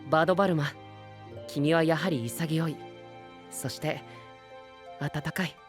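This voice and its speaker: A man playing a refined, earnest young gentleman knight with formal speech and English interjections in an anime voice drama. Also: on the high side, fairly even intonation, measured pace, faint music behind, close to an everyday voice. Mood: admiring.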